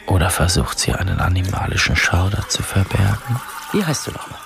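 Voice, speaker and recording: An actor playing a cocky, self-absorbed youth in a radio drama, sardonic and boastful. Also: male voice, deep voice, animated, a little fast, creaky, over music. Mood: brooding. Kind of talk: radio drama acting, inner monologue and dialogue.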